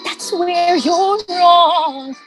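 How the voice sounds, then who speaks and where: A woman's voice: very high-pitched, drawn-out words, over music, full character voice. A woman playing a loving, protective mother in a musical theatre table read, encouraging her son in speech and song. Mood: encouraging, singing.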